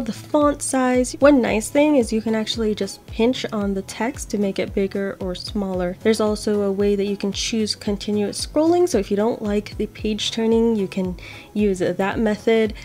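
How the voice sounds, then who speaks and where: A woman's voice: mid-range voice, darkish tone. Young woman giving a casual tutorial. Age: young adult. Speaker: host of accessibility tutorial videos. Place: small home office, indoors.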